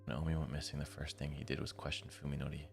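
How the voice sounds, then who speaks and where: An extremely low-voiced man with a heavy fry in his delivery, streaming a visual novel. He moves between narrating the text and chatting informally, with music playing behind him. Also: level tone, fast. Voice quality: deep, gravelly. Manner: slangy, informal asides. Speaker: live streamer. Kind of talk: reading a visual novel aloud with casual commentary.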